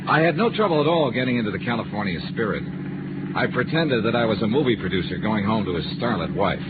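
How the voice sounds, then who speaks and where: An actor playing a wry, worldly insurance investigator with clipped hardboiled delivery in a 1950s radio drama. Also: male voice, medium pitch, background noise, close to an everyday voice. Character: wry, worldly male freelance insurance investigator narrating his case. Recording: muffled, band-limited 1950s radio recording.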